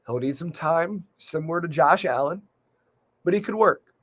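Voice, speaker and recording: Man, fairly high voice, sports podcast host, measured conversational commentary, emphatic on key points, slightly under the weather with a cold. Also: lively intonation, fairly bright tone. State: slight cold, losing his voice a little. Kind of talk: solo sports recap commentary.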